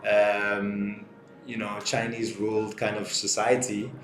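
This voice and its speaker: Man, thirties, from Singapore, lowish pitch, reflective casual talk, roomy sound. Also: completely flat delivery, a little slow, soft background music. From grew up in Singapore. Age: 30s. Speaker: show co-host. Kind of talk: casual conversational discussion. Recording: roomy echo, light background noise.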